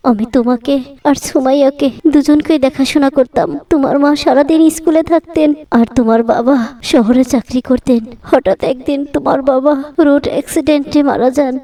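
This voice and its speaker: High-voiced, sorrowful village mother, acted by a woman. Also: mellow, far from the mic. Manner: plaintive, pleading. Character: sorrowful, humble, pious middle-aged village mother-in-law. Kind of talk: cartoon voice acting.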